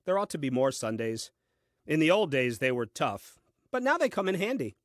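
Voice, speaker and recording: Casting: man to play puzzled, literal-minded first husband in a comic audio play; lively swooping delivery, dry pedantry, exasperated temper. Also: a little high, no echo. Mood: weary, dry. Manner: deliberate, theatrical, dry and pedantic.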